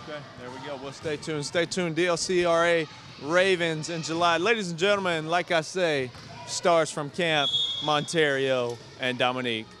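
A man's voice: a little high, fairly even intonation, normal speed, over music. A young man reporting from a gym, interviewing kids with a handheld mic in an upbeat, encouraging way. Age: young adult. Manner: upbeat, encouraging, repeats short affirmations. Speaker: TV sports reporter. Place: large indoor gym. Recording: noisy gym with bouncing balls.

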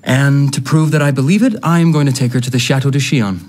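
A man acting a polite, earnest young gentleman in a period radio drama, hesitant and formal, charmed and a little defensive. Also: medium pitch, quick pace, lightly acted. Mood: smitten.

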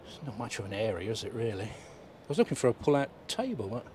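A man's voice: mid-range voice, big pitch swings, quick pace, darkish tone. A man, giving a casual walkaround of a motorhome at a show, thinking aloud as he goes. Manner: chatty, thinking aloud, mild remarks. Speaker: motorhome vlogger. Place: inside a motorhome at an indoor trade show. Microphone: handheld camera mic. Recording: noisy exhibition hall.

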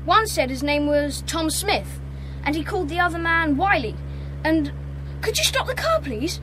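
An excited, polite child in an audio story. The voice is very high and lively, over a clear vehicle hum. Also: female voice, moderate pace. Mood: urgent, polite. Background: car engine hum.

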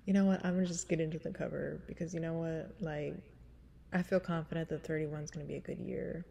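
A woman's voice: steady tone, quick pace, dark tone. Smoky-voiced woman rambling casually. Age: 30s. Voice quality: smoky, husky low voice. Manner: rambling, laughing, frank casual chat. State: stuffy nose. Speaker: singer vlogger. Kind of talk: casual chat before a cover song. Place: small room indoors.

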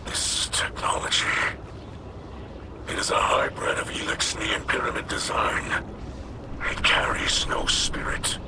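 An actor playing a solemn alien captain in a video game, with breathy, whispery speech and a formal manner. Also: male voice, on the low side, heavy background noise, close to an everyday voice. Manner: formal, measured. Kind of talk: game dialogue. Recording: loud game music behind.

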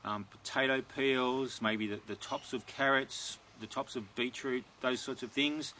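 A middle-aged man answering viewer questions about his garden in a relaxed, rambling way, recorded outdoors in a backyard. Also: mid-range voice, unhurried, slight background noise. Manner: relaxed and rambling, many ums and restarts. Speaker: gardening video host. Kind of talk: casual Q&A, reading viewer questions.

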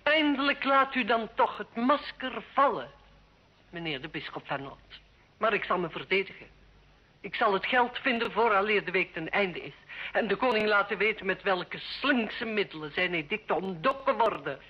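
An actress playing a proud medieval noblewoman in a radio play, defiant, formal and sharp-tongued. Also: medium pitch, animated, moderate pace, breathy edge. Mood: indignant, defiant. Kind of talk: Dutch-language radio play acting. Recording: dull, lo-fi old radio recording.

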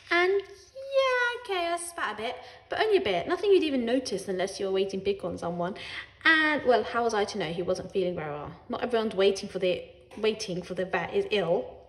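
A woman voicing an indignant, self-excusing pet cat in an animated, wry reading of a children's storybook. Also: fairly high pitch. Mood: defensive, scornful. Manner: wry, defensive, disdainful.

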